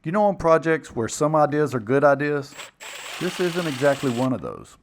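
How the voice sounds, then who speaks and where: A man's voice: fairly high pitch, sing-song, moderate pace, background noise. A man casually narrating his own build project in a plainspoken, folksy, self-deprecating way. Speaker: woodworking video maker and narrator.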